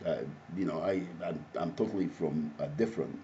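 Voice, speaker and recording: A man from Egypt with an Egyptian Arabic accent, speaking off the cuff in a halting, repetitive, rambling monologue. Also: a bass voice, warm tone. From Alexandria, Egypt. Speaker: activist, self-described advocate. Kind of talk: impromptu spoken monologue to camera. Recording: muffled audio with faint background noise.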